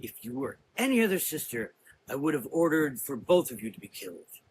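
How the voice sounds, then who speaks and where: A man's voice: on the high side, big pitch swings, brisk, a little hiss or hum, low-quality recording. A man voicing a stern, commanding, suspicious woman of about forty who leads a temple in a gothic audio drama, speaking with accusing authority. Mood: wary.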